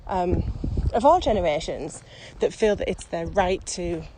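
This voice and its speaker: A woman's voice: lowish voice, lively intonation, a little slow, mellow. A British woman co-hosting a casual walking podcast outdoors, speaking in an earnest, emphatic, opinionated way. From United Kingdom. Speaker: podcast co-host. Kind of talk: casual conversational chat while walking. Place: outdoors, walking on a mountain path. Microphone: handheld recorder without wind cover. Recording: very noisy windy outdoor location recording.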